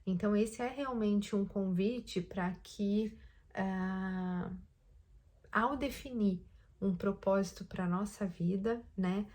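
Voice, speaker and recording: A woman from Brazil hosting a devotional talk, speaking thoughtfully and gently, pausing often to find her words. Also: medium pitch. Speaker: host of daily Lent reflection videos.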